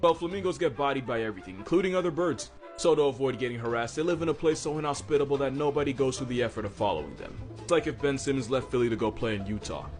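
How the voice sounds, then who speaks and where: A man's voice: medium pitch, expressive, quick pace, hardly any pauses, darkish tone. A man delivering comedic scripted narration about animals, with a wry, slangy, sarcastic manner. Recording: music behind the voice. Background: background music.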